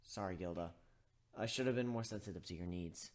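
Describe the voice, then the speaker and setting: A man voicing a sensitive young male griffin, speaking gently and sincerely. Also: sits low, brisk, voice pushed down, natural voice. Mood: apologetic.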